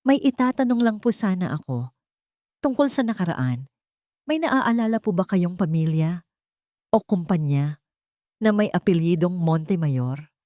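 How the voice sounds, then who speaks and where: A woman's voice: fairly low pitch, expressive, a little slow, pauses often, dark tone, no room sound, studio quality. A woman voicing a determined, suspicious billionaire man, polite yet firm, in an audio story. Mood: polite, probing.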